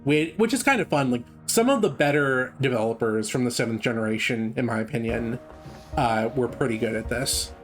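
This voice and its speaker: A man's voice: mid-range voice, animated, a little fast, soft background music. A man giving casual, chatty live commentary on a game speedrun, explaining as he goes. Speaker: gameplay commentator.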